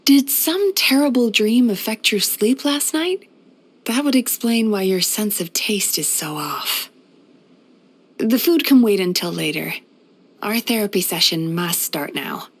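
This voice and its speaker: Gentle lady psychologist for a game, measured n soothing, a bit playful, medium pitch. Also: expressive, breathy edge. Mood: concerned. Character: gentle, caring female psychologist who banishes nightmares. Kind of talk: scripted video game character voice lines.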